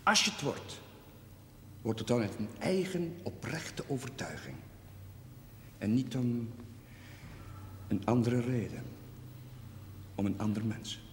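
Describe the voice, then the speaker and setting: Idealistic priest, sincere, didactic, mid-pitched, echoey room, 1970s TV drama. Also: male voice, lively intonation, slow pace, pauses often. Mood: solemn, sincere. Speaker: actor. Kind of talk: 1970s television drama acting. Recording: echoey hall, faint noise, TV soundtrack.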